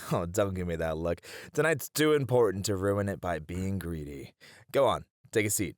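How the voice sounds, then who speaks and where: A man's voice: sits low, lively intonation, moderate pace, no echo, studio quality. A man playing a flirty, cheeky hare-eared mafia boss in an ASMR roleplay, teasing and playful as he charms his rivals. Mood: playful, welcoming.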